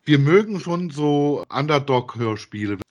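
A man's voice: fairly high pitch, lively intonation, a little slow. Man co-hosting a casual podcast. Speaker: podcast co-host. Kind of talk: casual chat reviewing audio dramas.

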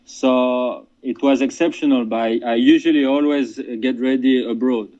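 A man from France, a fighter answering interview questions in halting, casual speech full of restarts and fillers. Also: mid-range voice, steady tone, darkish tone, lo-fi sound. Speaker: MMA fighter.

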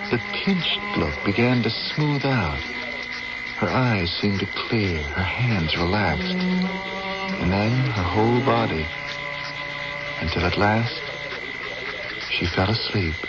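Radio drama: sensitive, earnest man with a deep voice on a dull vintage recording. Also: very animated, takes it slowly, long pauses, very noisy. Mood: hushed, reflective. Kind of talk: old-time radio drama acting and first-person narration. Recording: muffled old radio recording, loud music behind.

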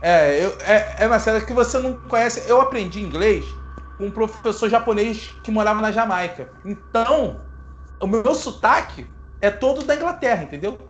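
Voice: medium pitch, expressive, normal speed, slight background noise. A host, explaining film history in a casual, playful way to the audience. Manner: playful, self-mocking asides.

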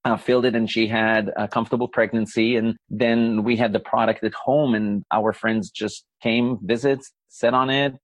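A man's voice: fairly low pitch, steady tone, moderate pace, few pauses, no room sound. A man, explaining things earnestly and laughing easily as an interview guest. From Israel. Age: 40s. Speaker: guest, company founder. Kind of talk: conversational interview.

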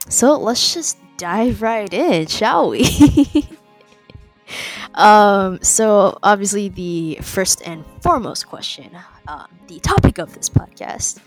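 A woman's voice: fairly low pitch, animated, slow, faint music behind. Chatty young woman podcast host. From California. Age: young adult. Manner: chatty, many ums and likes, laughs between phrases. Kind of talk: casual interview chat.

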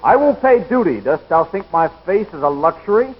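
A man's voice: high voice, sing-song, a little fast. An actor playing a bumbling early settler who stiffly declaims his lines in a 1930s radio comedy sketch. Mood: stiff declaiming. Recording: very noisy, dull 1930s radio recording.

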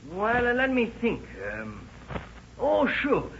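An actor playing a genial, puzzled immigrant cobbler with an Italian accent, hesitant and exclamatory in broken English, in 1930s radio drama style. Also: male voice, very high voice, huge pitch swings, slow, creaky, fairly bright tone, heavily acted. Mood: friendly, puzzled. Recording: dull, noisy old radio recording.

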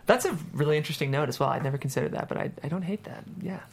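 A man's voice: a little high, expressive, very fast, creaky, slight background noise. A man hosting a casual panel discussion, chatty and conversational, often saying "like". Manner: chatty, frequent "like". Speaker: host and screenwriter.

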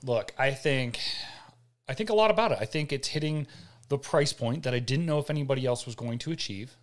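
A man's voice: medium pitch, lively intonation, fairly quick. A man co-hosting a gaming show in casual, off-the-cuff conversation.

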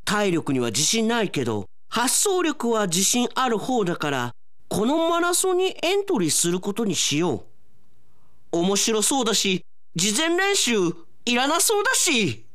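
A man playing an excitable, whiny, hapless young man in a comic radio drama, with theatrical exclamations. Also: high-pitched, unhurried, exaggerated voice. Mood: upbeat. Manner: theatrical exclamations, sprinkles in English phrases.

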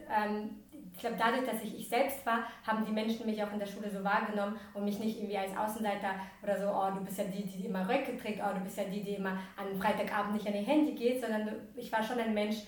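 A young woman from Ukraine, a podcast guest, talking casually with many fillers and restarts. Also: mid-range voice. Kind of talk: casual interview talk. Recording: echoey room, distant from mic.

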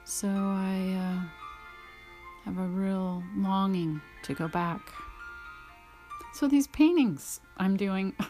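A middle-aged American woman talking informally and personally about her own paintings, halting, reflective and emotional. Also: mid-range voice, warm tone, soft background music. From United States.

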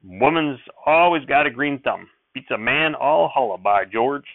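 A man voicing a loud, folksy, middle-aged small-town sheriff with a rustic drawl, heard over a tinny radio recording. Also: medium pitch, sing-song, moderate pace, vocal fry, no echo, voice pushed up. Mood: hearty. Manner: hollering, rustic drawl, hearty.

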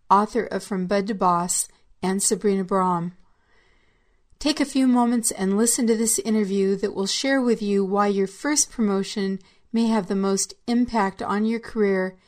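A woman reading the scripted introduction of a radio show in a clear, measured way. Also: mid-range voice, a little mellow. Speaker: show announcer.

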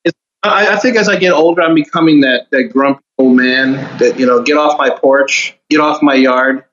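A man's voice: medium pitch, moderate pace, a bit bright, lo-fi sound, a little hiss or hum. A man in his forties, a guest on a casual podcast, talking candidly and in a laid-back way. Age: 40s.

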